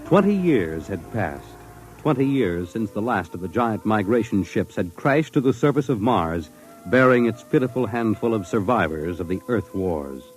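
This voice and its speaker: A man narrating as a 1950s radio announcer, with grave, theatrical delivery in a vintage broadcast. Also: deep voice. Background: music.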